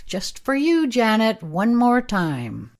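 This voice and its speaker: A woman playing an excitable, practical camera operator in a radio play, talking in a casual, emphatic way. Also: a little high, takes it slowly, heavily acted. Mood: hesitant, resigned. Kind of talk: radio play, naturalistic dialogue.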